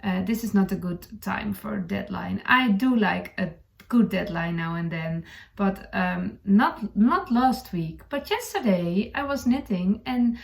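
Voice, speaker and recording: Creaky-voiced woman with a Dutch accent. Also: mid-range voice, unhurried. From Netherlands. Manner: hesitant, searching for words, chuckling now and then. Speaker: knitting podcast host. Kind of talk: casual chat to camera.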